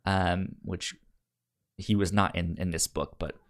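A man chatting casually as a podcast co-host, halting, with a stammer that repeats sounds and syllables. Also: low voice, fairly even intonation. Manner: halting, conversational. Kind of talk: casual podcast chat recommending comics.

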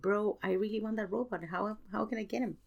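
A woman's voice: mid-range voice, fairly quick, gravelly, lo-fi sound. A young woman chatting casually as a podcast guest, gushing and playful, with lots of slang. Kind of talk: casual chat.

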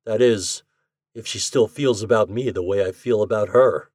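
A man reading an audiobook, voicing a gruff, desperate young male bookmaker who talks bluntly in slang. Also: lowish voice, moderate pace, darkish tone, dry recording, studio quality, close to an everyday voice. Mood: anguished. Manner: blunt slangy talk, rising to pleading.

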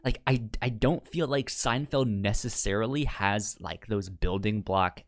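A man's voice: lowish voice, measured pace, dry recording, studio quality. A man co-hosting a casual chat show, who stutters and often repeats sounds and words as he talks. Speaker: podcast co-host. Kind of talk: casual chat about TV sitcoms.

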